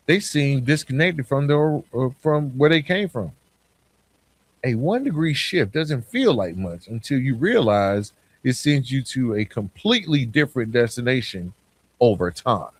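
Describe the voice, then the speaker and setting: A man hosting a motivational self-improvement podcast, speaking conversationally and stressing his points with deliberate, repeated phrasing. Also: medium pitch, slightly rough, poor audio. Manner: conversational, informal, with "right?" check-ins. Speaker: podcast host.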